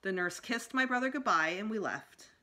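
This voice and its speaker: A woman's voice: medium pitch, lively intonation, moderate pace, sharp tone. A middle-aged woman reading a children's book aloud expressively as a school storytime reader. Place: small room indoors at home.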